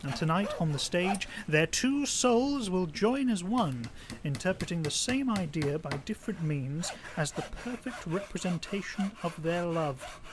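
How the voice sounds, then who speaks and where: A man's voice: high-pitched, animated. Poor lovesick young man in an audio play, rambles and stumbles, feels sorry for himself, pretty noisy home recording. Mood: lovesick, self-pitying. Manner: talkative, halting, plaintive. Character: earnest, self-pitying poor young man, hopelessly lovesick. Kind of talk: naturalistic audio drama acting.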